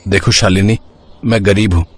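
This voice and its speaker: A man's voice: on the low side, steady tone, moderate pace, a little hiss or hum, close to an everyday voice. A man voicing a poor, sensible young husband in a cartoon moral story, earnest and somewhat helpless as he explains himself. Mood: earnest, hesitant.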